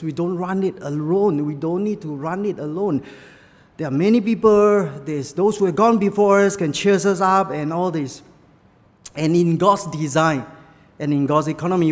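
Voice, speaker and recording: A man from Malaysia whose first language is Chinese, preaching a sermon with personal stories in halting English full of fillers. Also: a little high, a little mellow, big-room sound. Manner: halting, many fillers, non-native English phrasing.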